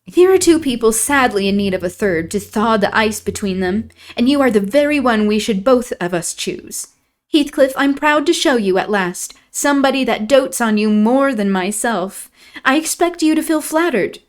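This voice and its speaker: A woman voicing a proud, mocking young lady of the house in a bright, teasing tone. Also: fairly high pitch, lively intonation, normal speed, lightly acted.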